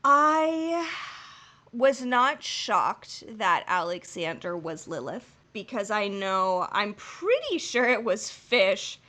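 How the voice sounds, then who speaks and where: A woman's voice: mid-range voice, sing-song, slow pace, pushed and bright. A woman co-hosting a podcast, chatting casually with jokes and laughter, then reading a plot summary. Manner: laughing, joking, rambling. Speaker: podcast co-host. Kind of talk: casual chat and episode summary.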